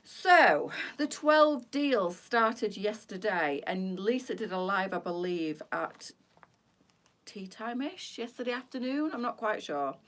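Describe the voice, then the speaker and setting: A chatty Yorkshire woman hosts a fashion boutique's live stream, talking straight to her viewers. She rambles, teases and laughs easily, her voice pitched right in the middle for a woman. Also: very animated, slow, a bit bright. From Yorkshire, England.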